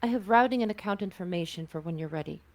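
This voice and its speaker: A woman voicing a calm, efficient ship's AI copilot in a sci-fi table read, with a measured, matter-of-fact delivery. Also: medium pitch, natural voice. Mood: calm, businesslike.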